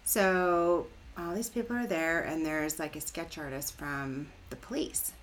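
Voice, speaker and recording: A middle-aged woman hosting a casual conversational interview, telling stories in a chatty, animated, informal way. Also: sits low, unhurried. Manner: chatty, informal, animated storytelling with frequent "like" and "right?". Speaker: podcast host.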